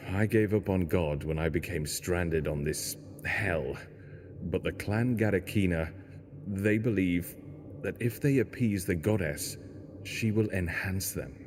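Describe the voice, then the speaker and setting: A man's voice: low-pitched, slow, a little hiss or hum. A man playing a weary, pessimistic stranded engineer in an audio drama, talking in a grave way. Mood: bitter. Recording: long hollow reverb.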